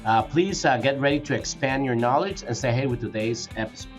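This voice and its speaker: A man's voice: medium pitch, fairly quick, soft background music. A man hosting a podcast interview, speaking hesitantly with many fillers and restarts. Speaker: podcast host.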